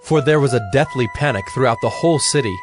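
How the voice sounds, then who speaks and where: A man narrating scripture from a script in a measured, solemn manner. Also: medium pitch, music in the background.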